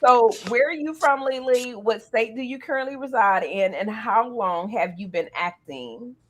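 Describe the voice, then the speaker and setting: A woman's voice: a little high, normal speed, a little hiss or hum. A woman hosting a casual talk show interview, speaking to guests in an encouraging, playful way.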